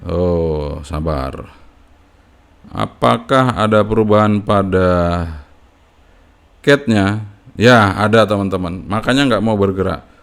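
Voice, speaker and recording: Low-voiced man, casual tutorial. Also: slow, gravelly, long pauses. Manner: informal, often tags phrases with a checking "ya". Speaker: amateur radio hobbyist giving a tutorial. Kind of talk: casual unscripted step-by-step tutorial.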